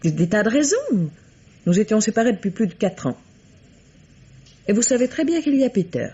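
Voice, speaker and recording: A woman's voice: medium pitch, very animated, normal speed, dark tone, husky. An actress playing an evasive, wounded young woman under police questioning in a radio mystery, hesitant and pleading. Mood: guarded. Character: evasive, wounded young woman suspected of murdering her husband. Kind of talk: 1950s French radio mystery acting. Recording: muffled vintage radio drama sound.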